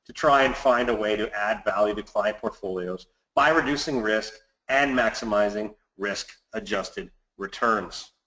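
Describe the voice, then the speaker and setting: A man's voice: fairly low pitch, unhurried, few pauses, pushed and bright. A man giving a scripted market commentary as a financial advisor hosting a client webinar, recorded in a roomy, echoey space.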